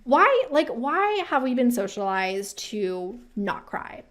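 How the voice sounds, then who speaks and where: A woman's voice: a little high, big pitch swings, takes it slowly, a bit bright. A woman hosting a career advice video, talking casually and emphatically and posing rhetorical questions as she gives her commentary. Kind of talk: casual commentary reacting to viewer-submitted quotes.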